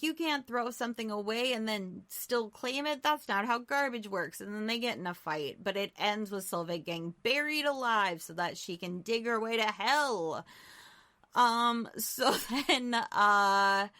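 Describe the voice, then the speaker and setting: A woman's voice: medium pitch, pushed and bright. A woman co-hosting a podcast, casually recapping a movie in an irreverent, jokey, sweary way with self-deprecating humor. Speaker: podcast co-host.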